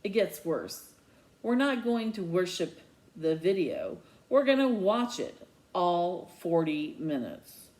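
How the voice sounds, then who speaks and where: A man's voice: sits high, very animated, takes it slowly, a little mellow, exaggerated voice. A woman voicing a resentful, sarcastic middle-school boy in a children's novel read aloud. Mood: indignant, sarcastic. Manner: indignant, sarcastic.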